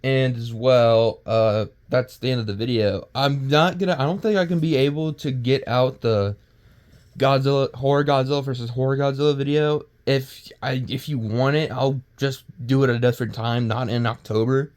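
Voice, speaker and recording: A man giving casual, unscripted commentary as a video creator, rambling and halting, often stumbling over words and starting again. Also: medium pitch, steady tone, darkish tone.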